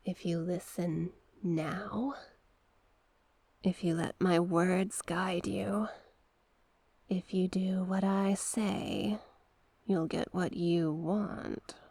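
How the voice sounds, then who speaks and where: Woman playing a manipulative sea witch, dark mellow tone, breathy and husky, often whispering, coaxing and hypnotic, dry close ASMR roleplay sound. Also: lowish voice, dry recording, full character voice. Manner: slow, hypnotic, coaxing repetition.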